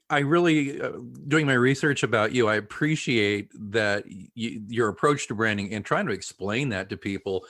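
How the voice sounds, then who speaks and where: A man's voice: medium pitch, a bit bright. A man hosting a podcast, chatty and joking, talking to his listeners in folksy asides. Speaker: podcast host. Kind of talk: podcast interview.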